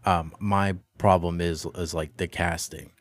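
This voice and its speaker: Young male podcast co-host, loose chatty delivery, low voice, dry sound. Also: fairly even intonation, a little slow, dry recording. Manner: loose, filler-heavy talk. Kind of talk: casual chat.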